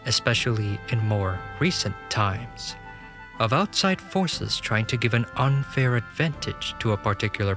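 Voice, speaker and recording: A man delivers scripted narration for a fantasy audio drama. His voice sits at a middle pitch, and music plays clearly underneath.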